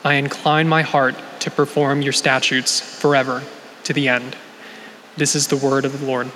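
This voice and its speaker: A man reading a scripture passage aloud in a measured, steady way during a church service. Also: mid-range voice. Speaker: scripture reader. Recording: echoey hall with faint noise.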